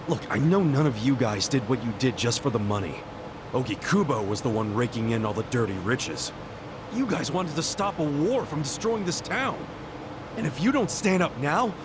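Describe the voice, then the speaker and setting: A man's voice: on the high side, lightly acted. An actor playing a hot-blooded, earnest man in a video game, speaking bluntly and passionately in slangy English over background noise. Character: hot-blooded earnest man rallying reluctant underworld leaders.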